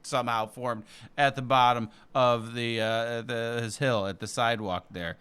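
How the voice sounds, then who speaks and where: A man's voice: medium pitch, steady tone, normal speed, a bit bright, smooth. A man narrating a cartoon script aloud at a comedy table read, loosely and informally.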